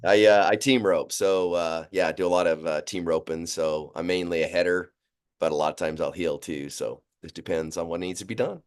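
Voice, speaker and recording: A man's voice: sits low, steady tone, fairly quick, clean tone. A middle-aged man chatting casually as a guest on a video call. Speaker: guest, business coach. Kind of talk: casual chat. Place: wood-panelled room, on a video call. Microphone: video call microphone.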